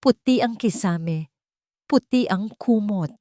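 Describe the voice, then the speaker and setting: A woman's voice: medium pitch, slow pace, dark tone, dry recording, studio quality. A woman narrating a dramatic audiobook story in a scripted storytelling style.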